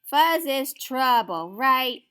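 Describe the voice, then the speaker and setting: A woman's voice: sits high, animated, very slow, bright, forceful tone, smooth, faint music behind, pitched above the performer's own voice, exaggerated voice. A woman voicing a small, earnest young prairie dog who pleads and rallies with urgency in a storybook. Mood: reassuring.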